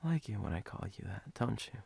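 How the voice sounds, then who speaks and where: A man playing a sleepy, teasing, doting boyfriend in an ASMR role-play, husky and breathy, often dropping to a whisper in an intimate, halting way. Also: fairly low pitch, big pitch swings, darkish tone, exaggerated voice.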